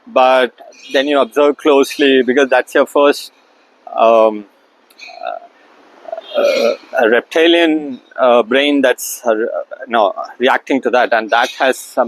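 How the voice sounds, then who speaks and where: A middle-aged man talks through his ideas in a loose, reflective monologue. His pitch sits in an ordinary middle range for a man, and he often pauses on "uh" and restarts his sentences. He was recorded on his own camera, set up across the room. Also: poor audio. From India. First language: Tamil. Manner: thinking aloud with many fillers and restarts. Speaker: host.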